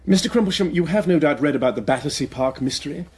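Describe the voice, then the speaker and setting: An actor playing an eager, courteous aristocratic detective with an upper-class English accent in a British radio drama. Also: male voice, fairly high pitch, expressive, quick pace, darkish tone, slight background noise, natural voice. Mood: earnest. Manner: urbane, courteous, hesitant musing.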